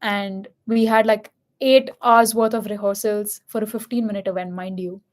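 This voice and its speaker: A woman's voice: mid-range voice, fairly even intonation, moderate pace. A young woman from India with a light Indian accent, talking in an earnest, candid and reflective casual chat. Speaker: host and MC.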